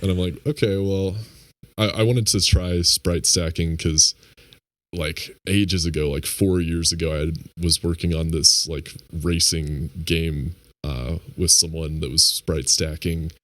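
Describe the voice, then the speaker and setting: A man with a very deep voice, a game developer guest speaking over a remote call in a laid-back, halting way full of filler words. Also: fairly even intonation, a bit bright, no room sound. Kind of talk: casual interview chat.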